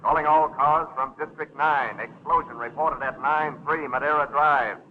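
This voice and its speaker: A brisk male police dispatcher in a 1930s film. His formal, impersonal announcing comes through a tinny radio in a slightly high voice, with faint noise. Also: steady tone. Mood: urgent, formal.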